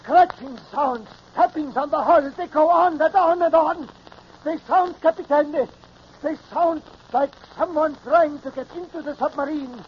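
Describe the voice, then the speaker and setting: Casting: very high-voiced frightened VIP, agitated and demanding, muffled vintage radio thriller. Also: male voice, measured pace, slight background noise. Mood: panicky, demanding. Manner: agitated, insistent. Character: frightened, demanding male dignitary aboard submarine. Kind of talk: 1950s radio drama acting.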